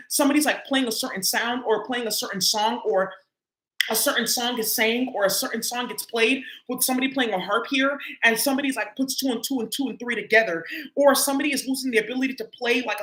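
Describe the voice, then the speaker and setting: A woman's voice: a little high, fairly bright tone. A woman doing a casual tarot reading for her viewers, talking loosely and profanely with lots of filler words. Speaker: tarot reader. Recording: echoey room.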